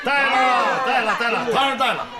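An actor playing a cheerful villager who eagerly chimes in at a feast. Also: male voice, extremely high pitch, steady tone, normal speed, heavy background noise. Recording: roomy, with loud crowd.

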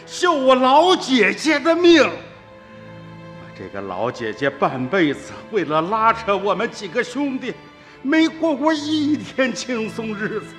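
A man acting a stern, anguished older uncle in a radio drama, speaking emphatically with drawn-out emotion. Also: extremely high pitch, sharp tone, exaggerated voice. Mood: anguished, reproachful. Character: stern, anguished older uncle scolding and narrating past events. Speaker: storyteller character in radio drama. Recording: long studio reverb, music underneath.

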